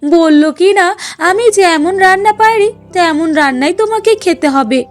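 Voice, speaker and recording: A woman playing a high, spiteful young sister-in-law. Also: animated, moderate pace, no echo. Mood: indignant, complaining. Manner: scolding, complaining, demanding. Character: spiteful, whiny young sister-in-law who tells tales. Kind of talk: Bengali cartoon dialogue.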